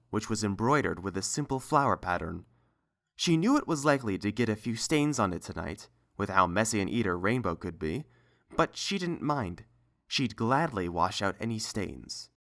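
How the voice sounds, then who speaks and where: Animated audiobook narrator with lively pitch. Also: moderate pace. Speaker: narrator and reader. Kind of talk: scripted story narration, audiobook.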